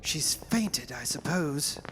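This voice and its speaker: A man's voice: fairly high pitch, lively intonation, slow, a bit bright, long reverb tail, slight background noise. An actor playing an alarmed male companion in an old-time style radio horror drama. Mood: uneasy.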